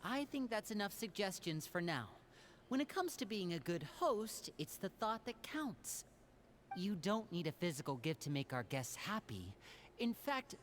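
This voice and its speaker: A woman voicing a composed, commanding matriarch in a game, measured and calm. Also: lowish voice, slight background noise. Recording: game audio with music behind.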